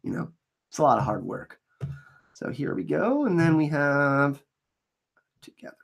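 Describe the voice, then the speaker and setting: A man hosting a fan channel, breaking down trailers in casual commentary. He is an excitable, enthusiastic fan, and his voice is slightly scratchy from allergies. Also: a little high, a little slow, vocal fry.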